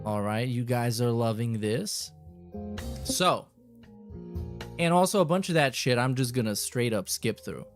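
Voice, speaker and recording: A man's voice: mid-range voice, animated, a little slow, pauses often, music in the background. A man hosting a casual livestream, reading messages aloud with irreverent, profane and sarcastic commentary. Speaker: podcast host.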